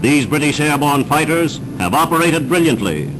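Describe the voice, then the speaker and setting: A man's voice: on the high side, sing-song, normal speed, noisy background. A man reading a wartime newsreel script in a formal, emphatic, declamatory 1940s style. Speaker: newsreel narrator. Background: dramatic newsreel music.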